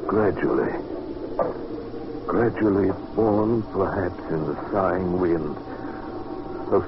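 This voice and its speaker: A real deep-voiced haunted adventurer, grave and dramatic, old radio drama w/ clear hiss. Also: male voice. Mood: grave, brooding. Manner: deliberate, dramatic, halting when moved. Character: grave, resolute male adventurer, haunted, recounting horror. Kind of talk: old-time radio narration and acting. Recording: dull, noisy old radio recording.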